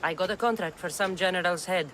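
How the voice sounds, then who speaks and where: An actress playing a confident young mercenary in a video game, cool and assured. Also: female voice, on the low side, fast talker, fairly bright tone, a little hiss or hum, close to an everyday voice. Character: confident, tough young female Greek mercenary. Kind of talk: video game character acting.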